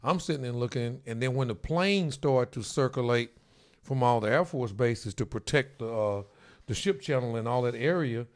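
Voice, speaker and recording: A man's voice: medium pitch, normal speed. A middle-aged man hosts a sports talk show in the studio. His voice sits in an ordinary middle range, and he rambles, circling back and repeating words as he talks.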